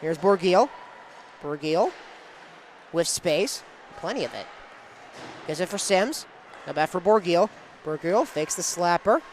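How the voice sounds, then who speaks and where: A man's voice: high voice, expressive, unhurried, long pauses, a little hiss or hum. A man working as a play-by-play sportscaster, calling live game action in short, clipped phrases.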